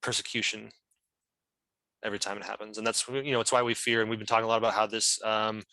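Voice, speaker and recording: A man's voice: fairly low pitch, little pitch movement, fast talker, pushed and bright, no echo, low-quality recording. A man explaining things as a guest at an online meeting, thoughtful and often searching for words. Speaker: member of a whistleblower's legal team, guest speaker.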